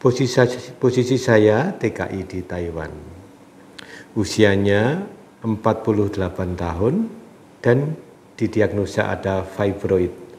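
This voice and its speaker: A man speaking as a doctor who explains health questions from viewers in a calm, measured way, his voice congested from a cold and slightly nasal. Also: on the low side. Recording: echoey room with faint background noise.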